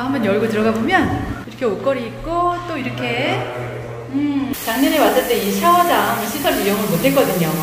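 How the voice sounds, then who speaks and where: High-pitched woman chattering through a campground tour, phone audio, noisy outdoors. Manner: chatty, exclaiming often. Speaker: vlogger touring a campground. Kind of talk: casual vlog narration. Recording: outdoor phone audio with clear background noise.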